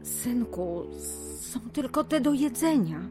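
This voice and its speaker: A woman's voice: on the high side, lively intonation, slow pace, over music. An actress playing a fearful, pious village mother in a radio drama, submissive and pleading as if in prayer.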